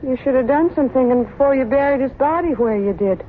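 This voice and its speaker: A woman's voice: sits high, expressive, brisk. A woman playing a blunt, streetwise young woman with plain-spoken delivery in a vintage radio suspense drama. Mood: blunt, concerned. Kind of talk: 1940s radio suspense acting. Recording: tinny, faintly noisy old radio recording.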